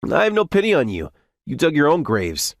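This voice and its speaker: Manga recap lead, male actor: modest young office worker, somewhat high voice, very animated, earnest. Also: brisk, vocal fry, no room sound, studio quality, heavily acted. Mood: confident, firm. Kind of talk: scripted first-person manga recap narration and dialogue.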